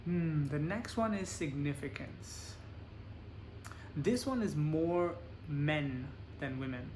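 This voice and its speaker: A man lecturing in a measured, explaining way, pausing for an interpreter. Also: fairly high pitch, darkish tone, slight background noise, poor audio. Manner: plain, measured explaining with examples.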